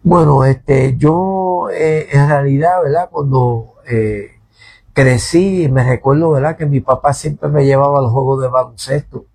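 A man's voice: medium pitch, a little slow, creaky, a little mellow, breathy edge. A man, a pastor speaking as a radio guest, hesitant, repeating words and tagging "¿verdad?" onto nearly every phrase. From born in New Jersey, raised in Puerto Rico. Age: 50s. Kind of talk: radio interview, testimony. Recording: radio studio.

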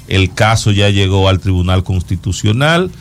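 Low-voiced Dominican man commenting on news. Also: expressive, moderate pace, creaky, soft background music. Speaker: talk show host commenting on news.

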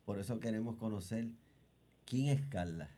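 A man hosting a radio talk show, chatty and quick to interject with questions. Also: lowish voice, mellow. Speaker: radio host.

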